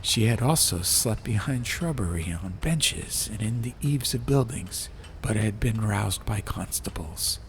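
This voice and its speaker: A man's voice: on the low side, animated, measured pace. A man reading a scripted atmospheric storybook tale as an audiobook narrator. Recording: faint noise and ambience behind voice. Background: ambient background sound.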